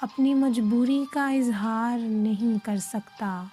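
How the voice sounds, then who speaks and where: A woman reads poetry in a soft-toned, mellow voice that sits a little high. Her phrasing is measured and deliberate, like a careful recitation. Faint music plays behind her. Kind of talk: expressive Urdu poetry recitation with explanatory introduction. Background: faint background music.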